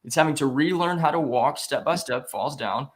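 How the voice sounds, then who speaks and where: A man, a guest on a talk show, telling a story casually in an animated way, quoting dialogue as he goes. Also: fairly high pitch, moderate pace. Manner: animated retelling with quoted dialogue. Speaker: guest, spiritual growth coach.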